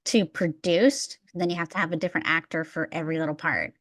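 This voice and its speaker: A woman's voice: deep voice, steady tone, fast talker, fairly bright tone, dry recording. Chatty woman host, dry close sound. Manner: chatty, explains at length with fillers. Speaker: podcast host. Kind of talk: casual podcast chat.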